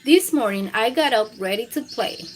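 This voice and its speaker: A woman's voice: on the high side, animated, moderate pace, rough recording. A woman hosts a read-aloud for young children. Her delivery swings up and down expressively and takes its time. There is noticeable background noise with chirping. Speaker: children's storytime host and reader.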